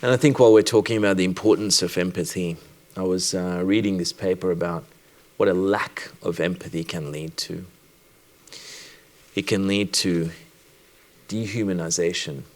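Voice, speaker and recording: A man from Sri Lanka who works as a doctor, giving a personal conference talk in a reflective, measured way with deliberate pauses. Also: deep voice, steady tone.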